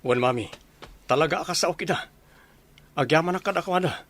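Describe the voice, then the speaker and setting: A man's voice: on the high side, fairly quick, fairly bright tone. A young man with a Filipino Ilocano accent playing a dutiful, protective son in a radio drama. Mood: grateful. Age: young adult. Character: dutiful soldier son, protective of his mother.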